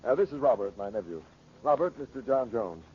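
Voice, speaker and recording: A rich, cynical older man acted who speaks formally and expects obedience. Mid-pitched male voice from a 1940s radio play, dull and faintly noisy. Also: animated, brisk, natural voice. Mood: tense, bitter. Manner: formal, controlling. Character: imperious, cynical rich uncle used to getting his way.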